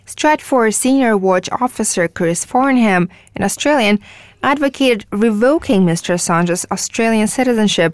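A female newsreader delivering a radio news report in a measured, formal way. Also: mid-range voice, expressive, dry recording.

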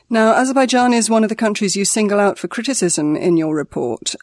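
A man's voice: high voice, darkish tone, dry recording, clean studio sound. A man putting prepared questions to a guest as a radio news interviewer.

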